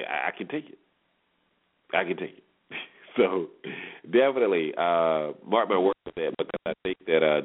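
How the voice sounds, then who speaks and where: A man with a slightly low voice hosts a sports talk show on his own, heard through a thin, phone-like connection. He talks informally through his analysis and repeats phrases to drive points home. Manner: emphatic repetition of phrases. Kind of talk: informal solo sports commentary. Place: solo internet radio show. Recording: muffled phone-like internet radio audio.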